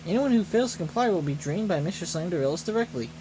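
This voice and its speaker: A man voicing a formal factory announcer in a video game, polite, measured and quietly menacing. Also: sits high, expressive, noisy background. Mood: coolly official. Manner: measured, official.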